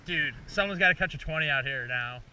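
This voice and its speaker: A man's voice: fairly high pitch, fairly quick, recorded from a distance. A man hosting a fishing vlog, chatting casually with bro-ish slang and saying "dude" constantly. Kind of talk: casual excited chat while fishing, then recap to viewers. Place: outdoors on a small fishing boat. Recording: wind, boat and engine noise.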